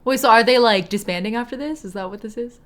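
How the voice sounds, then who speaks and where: A woman's voice: on the high side, fast. A woman chatting casually as a livestreamer, reacting to a game in a playful, jokey way. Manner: animated, jokey, mimics imagined quotes. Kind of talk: casual chat and game commentary.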